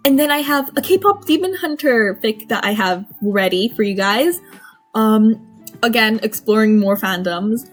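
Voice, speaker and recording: High-voiced woman podfic narrator over music. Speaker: podfic narrator and channel host. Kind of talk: amateur audiobook narration and casual chat to listeners.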